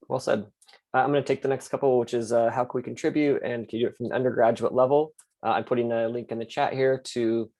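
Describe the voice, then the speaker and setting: A man running an online astronomy class, moderating questions and explaining the course in a relaxed way with many fillers. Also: medium pitch. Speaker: course instructor and host.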